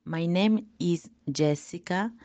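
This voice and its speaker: Woman student, deep mellow voice, dry dull sound. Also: slow, dry recording. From Peru. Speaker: language student. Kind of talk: reading her own poem aloud.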